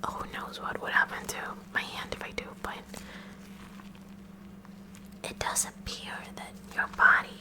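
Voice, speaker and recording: A woman playing a kooky, curious high school science club girl in a whispered ASMR roleplay, musing haltingly with clinical fascination in a breathy whisper. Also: close to an everyday voice. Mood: hushed fascination. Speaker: ASMR performer.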